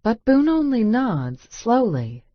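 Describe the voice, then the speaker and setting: A woman's voice: a little high, big pitch swings, takes it slowly, no echo. A woman reading a scripted first-person romance audiobook, with slightly muffled sound. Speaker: audiobook narrator.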